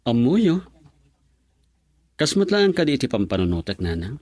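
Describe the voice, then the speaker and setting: Man acting resolute young lover, husky, mid-pitched, earnest, intense, dry radio drama sound. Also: big pitch swings, moderate pace, darkish tone, dry recording. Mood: defiant, earnest. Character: determined young man, defiant devoted lover.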